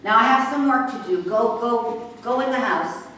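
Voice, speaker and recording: A woman's voice: a little high, moderate pace, fairly bright tone, natural voice. A woman playing a sarcastic, distrustful horse trainer in a staged play reading, confrontational and defiant. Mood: mocking, bitter. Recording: echoey room, distant mic, faint noise.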